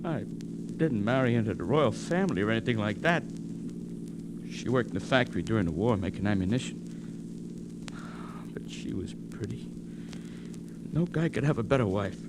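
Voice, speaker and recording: Casting: scared, defeated young veteran, fry-edged voice, vintage radio serial with noticeable noise. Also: male voice, on the low side, expressive, moderate pace, long pauses. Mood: glum, haunted. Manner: anxious, defeatist. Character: frightened, despairing young ex-soldier husband in trouble. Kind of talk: 1940s radio drama acting. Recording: hissy, noisy vintage radio recording.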